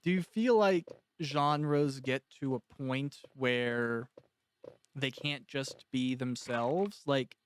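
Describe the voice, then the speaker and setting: Guy doing a casual podcast interview, really clear smooth voice, dry sound. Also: medium pitch, dry recording.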